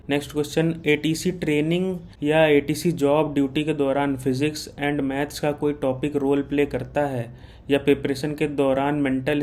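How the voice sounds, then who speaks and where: A man's voice: mid-range voice, darkish tone. A man with an Indian accent hosting an informal exam-guidance Q&A, reading out questions and answering them in a reassuring, matter-of-fact way. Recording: clear background noise.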